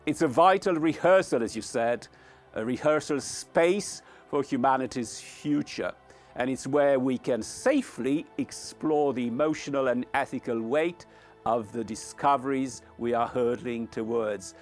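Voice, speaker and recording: A man hosting a podcast, delivering scripted closing remarks in an earnest, formal and measured way. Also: mid-range voice, soft background music. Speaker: podcast host.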